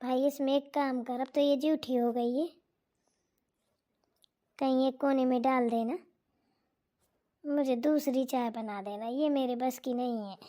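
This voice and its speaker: Cranky old mother-in-law, done by a woman, slow scolding, high voice. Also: fairly even intonation, dark tone. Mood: grumbling. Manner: scolding, complaining, drawn-out. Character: bossy older mother-in-law, fussy and scolding. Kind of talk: cartoon voice acting.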